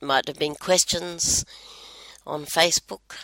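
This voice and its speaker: A woman co-hosting a casual podcast, speaking in a measured, reflective way. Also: deep voice, fairly even intonation, vocal fry, sharp tone, no room sound, rough recording. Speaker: podcast co-host.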